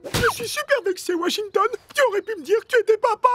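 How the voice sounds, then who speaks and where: A gullible cartoon wild boar, pitched extremely high. The naive, dim-witted sidekick speaks emphatically with lively swings, over clear music. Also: quick pace. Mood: indignant. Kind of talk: animated French cartoon dubbing. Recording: music playing behind the voice.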